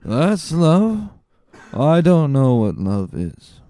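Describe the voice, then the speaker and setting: A man's voice: fairly high pitch, very animated, drawn-out words, mellow, no echo, clean studio sound, voice pushed up, full character voice. A man voicing a tipsy, good-natured, boastful drunkard in a slurred, rambling drawl for a dramatic reading.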